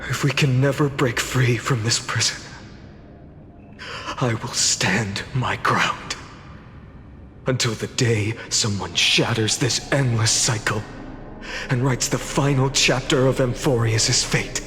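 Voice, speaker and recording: A man voicing a resolute, self-sacrificing young warrior in a game cutscene, solemn and measured. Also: mid-range voice, a bit bright, slight background noise. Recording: reverberant with music behind.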